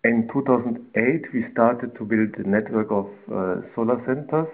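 Brief: German-accented male panelist, formal and plain, mid-pitched, tinny phone-line sound. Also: moderate pace. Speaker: webinar panelist, founder. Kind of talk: webinar presentation over slides.

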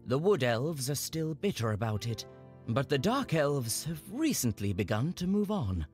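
A man playing a brisk, formal customs official in a fantasy game dub. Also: medium pitch, very animated, soft background music, natural voice. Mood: brisk, polite. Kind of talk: video game character dub.

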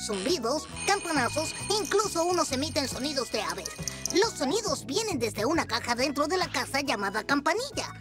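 A woman's voice: high-pitched, very animated, normal speed. A woman voicing a thoughtful, knowledgeable young creature in a children's cartoon, explaining things carefully. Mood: instructive. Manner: careful, instructive. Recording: music playing behind the voice.

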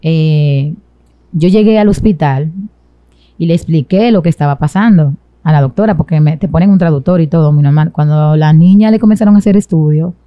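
A woman's voice: sits low, brisk, no echo. Young Dominican woman, dry muffled recording. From Dominican Republic. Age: young adult. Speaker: interview guest. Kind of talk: personal storytelling in an interview. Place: small indoor studio set with a sofa. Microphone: boom-arm microphone close to the mouth.

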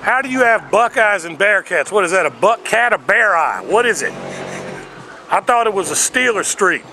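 A man's voice: sits high, very animated, moderate pace, sharp tone, heavily acted. A man in his thirties putting on a brash, bossy, rambling prank caller who badgers and throws absurd insults. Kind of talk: improvised prank phone call. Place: outdoors on a city sidewalk. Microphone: handheld camera up close. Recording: noisy outdoor street with traffic.

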